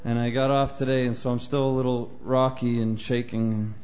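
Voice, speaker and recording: A man from Nova Scotia, a folk singer-songwriter, chatting on stage between songs in a soft-spoken, hesitant way with many fillers. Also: medium pitch, level tone, normal speed. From Nova Scotia, Canada. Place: small live venue on stage. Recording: dull lo-fi live recording with guitar. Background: guitar playing faintly.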